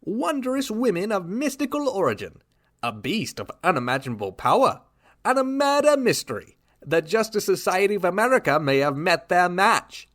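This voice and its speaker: A man doing a booming old-time serial announcer. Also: sing-song, normal speed, fairly bright tone. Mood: dramatic. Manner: theatrical, cliffhanger narration. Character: booming dramatic male radio-serial announcer.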